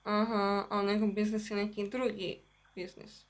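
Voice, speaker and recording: A woman's voice: medium pitch, little pitch movement, normal speed. A woman giving casual Let's Play commentary over game music. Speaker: gameplay host. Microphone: voice-over mixed with game audio.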